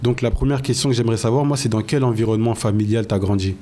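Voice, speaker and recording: A man's voice: mid-range voice, quick pace, dark tone, slight background noise. A man hosting a podcast interview, asking questions in a casual, hesitant way with many fillers. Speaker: podcast host.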